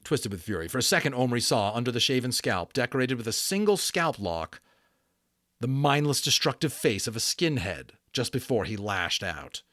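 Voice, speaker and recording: A man with a mid-pitched voice gives a dramatic storybook narration. He leans on key words theatrically, like a keen amateur storyteller. The sound is dry, with little room in it. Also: sing-song, moderate pace, pushed and bright, no room sound. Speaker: audiobook narrator.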